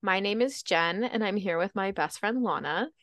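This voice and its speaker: A woman's voice: mid-range voice, animated, normal speed, fairly bright tone, no echo. A young woman from Baltimore co-hosting a podcast, telling stories in a chatty, upbeat way. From Baltimore, Maryland. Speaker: podcast co-host. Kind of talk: casual chat.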